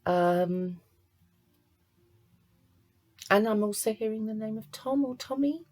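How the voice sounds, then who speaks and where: A woman working as a psychic medium, giving a card reading to camera in a halting, searching way. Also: a little high, a little mellow. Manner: halting, searching, pauses to sense impressions.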